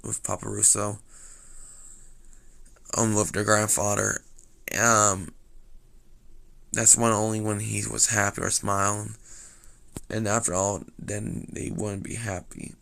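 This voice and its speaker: A young man with a slightly raspy voice telling a rambling story, full of fillers and restarts. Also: on the low side, level tone, slow, long pauses, pushed and bright. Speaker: vlogger.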